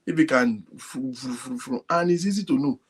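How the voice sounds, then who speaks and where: Male show host, husky and quiet, talks straight to viewers at home. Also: mid-range voice, normal speed. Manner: speaks softly, animated, addresses viewers directly. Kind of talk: casual talk to a live audience. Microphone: phone linked to a computer.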